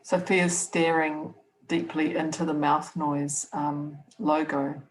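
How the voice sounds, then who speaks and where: A woman hosting a relaxed video-call conversation. She is friendly and readily affirms and laughs along with the others. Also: low-pitched, slow, rough recording. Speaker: host. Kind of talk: casual video-call chat.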